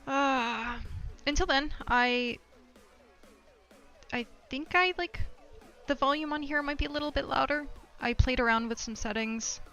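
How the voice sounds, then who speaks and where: A high-pitched woman with a noticeably creaky edge chats casually on a livestream. She rambles and thinks out loud, with music playing behind her. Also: a little slow. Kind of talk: casual chat to stream viewers. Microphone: streaming microphone.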